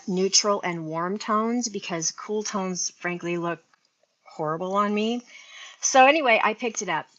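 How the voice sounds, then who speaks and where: A woman's voice: medium pitch, a bit bright, dull audio. A sixty-year-old woman hosting a casual beauty live stream, chatting and rambling to her viewers, talking into an earphone mic linked to her phone. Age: 60s. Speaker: beauty livestream host.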